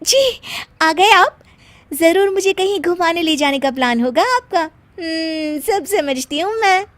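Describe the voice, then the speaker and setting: A woman's voice: extremely high pitch, animated, unhurried, fairly bright tone, dry recording, pitched above the performer's own voice, exaggerated voice. A woman voicing a discontented, greedy young daughter-in-law in a cartoon, with a dramatic manner. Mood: coy, hopeful.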